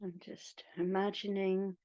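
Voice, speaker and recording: A woman guiding a meditation in a soothing, hushed manner, leaving long pauses between phrases. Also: mid-range voice, little pitch movement, no echo, muffled recording. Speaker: meditation guide. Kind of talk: guided meditation.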